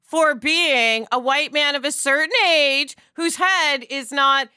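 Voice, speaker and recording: A woman's voice: sits high, slow, pushed and bright, dry recording, clean studio sound. An American woman hosting a casual discussion, speaking emphatically and putting questions to her guests. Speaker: podcast host.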